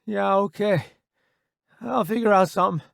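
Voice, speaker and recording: A man's voice: high voice, normal speed, creaky, no echo, clean studio sound, voice pushed up. A man voicing a wounded young soldier in an audiobook, strained and blunt, talking through pain. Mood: worried. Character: wounded young male soldier, pained, cursing, loyal joker.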